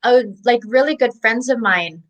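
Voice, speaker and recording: A woman in her thirties speaks as an interview guest, her voice sitting somewhat high. She talks in a chatty, easygoing way, often restarting mid-sentence. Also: normal speed, muffled recording. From Philippines. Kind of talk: casual interview.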